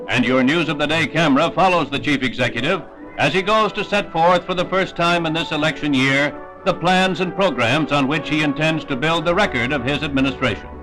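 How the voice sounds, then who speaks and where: High-pitched American newsreel announcer man. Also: fairly quick, poor audio. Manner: formal, declamatory announcer delivery. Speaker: newsreel narrator. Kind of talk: 1960s newsreel scripted narration. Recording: 1960s newsreel soundtrack with music. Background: newsreel music.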